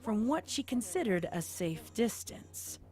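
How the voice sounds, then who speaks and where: A woman's voice: on the high side, very animated, a little slow, darkish tone. A woman reading scripted story narration as the narrator of an audio tale.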